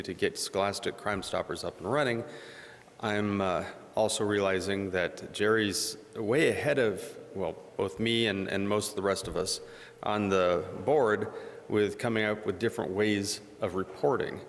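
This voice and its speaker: A middle-aged man with a low, soft voice that doesn't carry, speaking hesitantly and self-deprecatingly at a community meeting, pausing often on "uh". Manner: halting, self-deprecating, many "uh" pauses. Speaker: board member. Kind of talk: informal remarks at a public meeting. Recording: echoey meeting hall.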